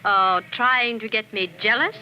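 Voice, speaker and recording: An actress playing a jealous, anxious wife with a needling, theatrical 1940s radio delivery. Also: female voice, fairly high pitch, a little slow, lo-fi sound, lightly acted. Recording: noisy old 1940s radio recording.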